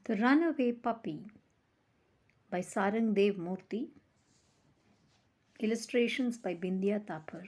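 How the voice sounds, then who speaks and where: Lady reading a kids picture book out loud, really slow, breathy, dramatic storyteller delivery. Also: medium pitch. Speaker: storyteller reading aloud for children. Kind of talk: expressive read-aloud of a children's picture book.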